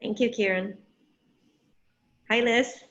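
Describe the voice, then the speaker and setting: A woman moderating an online interview, talking hesitantly with many pauses and restarts. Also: on the high side, fairly even intonation, slight background noise. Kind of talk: interview moderation on a video call. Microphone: video call. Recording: dull lo-fi video call audio.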